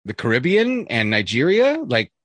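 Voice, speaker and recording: A man in a casual podcast chat, reading a list aloud and breaking off into jokey asides. His voice sits at an ordinary middle pitch, full of "uh"s and restarts. The sound is dry and close, with no room around it. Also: huge pitch swings, moderate pace, a bit bright, no room sound. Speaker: podcast co-host.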